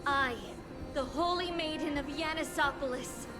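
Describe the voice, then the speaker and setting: An actress playing a solemn, resolute young holy maiden in a video game, speaking formally and earnestly. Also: female voice, high voice, moderate pace, lightly acted. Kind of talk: scripted video game cutscene acting. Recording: music behind the voice.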